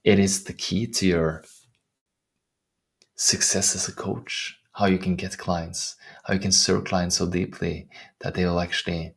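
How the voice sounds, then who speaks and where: Low gravelly Norwegian-accented man. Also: little pitch movement. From Norway. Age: middle-aged. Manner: soft-spoken, earnest, unhurried with searching restarts. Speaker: life coach. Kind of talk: reflective vlog monologue. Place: outdoors in the wilderness.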